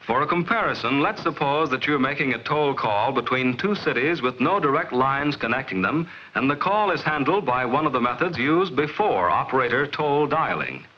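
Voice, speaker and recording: 1950s film narrator, dull vintage soundtrack. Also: male voice, medium pitch, expressive. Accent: American. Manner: measured, explanatory, clearly enunciated.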